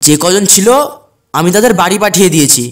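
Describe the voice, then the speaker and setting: A man playing a caring, protective friend and clinic helper in a cartoon, chiding in a brisk, familiar way. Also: high voice, lightly acted. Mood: fondly nagging.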